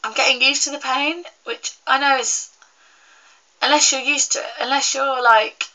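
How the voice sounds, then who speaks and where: A young woman vlogging in a casual, rambling chat to camera, full of fillers and restarts, her voice a little croaky and congested from a cold. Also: sits high, steady tone, normal speed, sharp tone, poor audio. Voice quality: slightly croaky.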